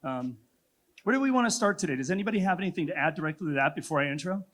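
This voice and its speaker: A man's voice: a little high, expressive, very fast, a bit bright. A man moderating a conference panel, talking in a reflective, loosely rambling way with filler words.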